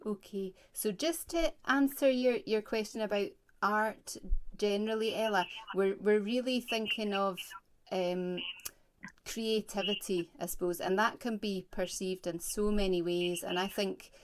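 A woman conducts an interview by phone, her pitch sitting in a middle range. She speaks gently, with plenty of hesitations and restarts. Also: steady tone, a little slow, slight background noise, poor audio. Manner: gentle, hesitant, many fillers. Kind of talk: oral history interview.

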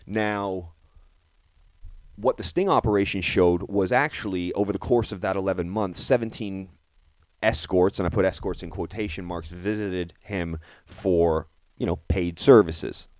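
Deep guy ranting on a podcast, dry close sound, keeps hammering his point. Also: unhurried, a little mellow, dry recording. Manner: indignant, emphatic, repeats phrases for stress. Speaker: podcast host. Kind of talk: opinionated current-affairs commentary.

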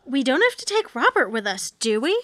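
Woman playing a very high, exasperated sitcom wife. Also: very animated, fairly quick, fairly bright tone. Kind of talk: comic sitcom table read.

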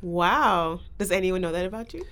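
A woman's voice: lowish voice, lively intonation, moderate pace, fairly bright tone. A young woman hosting a casual podcast, talking with guests and presenting trends. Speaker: podcast host.

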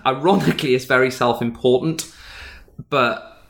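A man speaking casually as an interviewed stage magician, emphatic and animated. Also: medium pitch, a little slow, gravelly. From Wales. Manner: animated, emphatic, acts out imagined dialogue.

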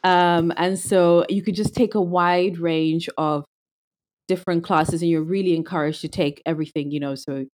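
Low-voiced Zimbabwean woman, thoughtful. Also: fairly even intonation, normal speed, a little mellow. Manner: thoughtful, with many restarts and fillers. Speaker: guest. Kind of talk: casual conversational interview.

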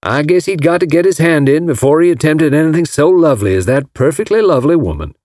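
A man voicing a folksy, lovestruck rich gentleman from Alabama with a drawling Southern American accent, in an audiobook. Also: on the high side, expressive, quick pace, dry recording, studio quality, pitched above the performer's own voice, close to an everyday voice. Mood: reverent, smitten.

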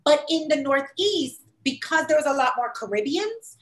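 A woman with a New York accent that leans Puerto Rican chats in an animated, storytelling way, slipping into quoted voices. Her voice sits a little high. The room around her rings with echo. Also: normal speed. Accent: New York Puerto Rican-influenced. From New York City. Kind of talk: casual conversation. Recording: echoey room.

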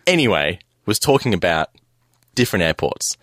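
Giggly young radio host. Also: male voice, sits low, big pitch swings, normal speed, a bit bright, no echo, clean studio sound. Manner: giggly, rambling storyteller. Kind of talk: casual on-air banter. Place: radio studio. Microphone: studio microphone.

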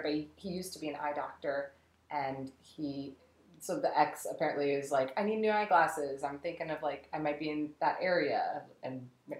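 A woman chatting as a podcast guest, telling stories with laughter and lively asides. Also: deep voice, reverberant. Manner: laughing, animated storytelling. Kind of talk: casual chat.

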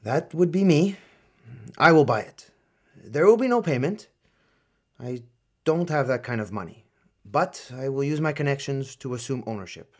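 A man acting in a radio play as a stern but protective older colonel and uncle figure, grave and deliberate. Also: medium pitch, expressive, lightly acted. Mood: resigned, businesslike.

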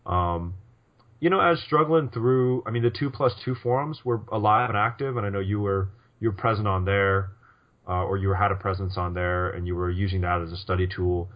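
A man's voice: sits low, brisk, muffled recording. A man co-hosting a poker podcast in casual chat, rambling with lots of restarts and fillers. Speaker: podcast co-host.

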